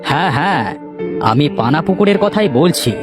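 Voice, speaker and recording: A man's voice: fairly high pitch, expressive, normal speed, over music, natural voice. Man voicing a brooding village headman, cartoon drama. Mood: troubled, brooding. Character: worried elderly village headman, brooding and skeptical. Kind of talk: Bengali cartoon voice acting.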